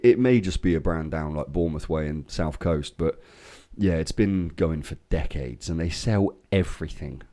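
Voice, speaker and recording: An English man co-hosting a casual podcast, swearing freely and telling lively stories while getting over a cold. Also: deep voice. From England. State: recovering from a cold. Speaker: podcast co-host. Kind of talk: casual chat.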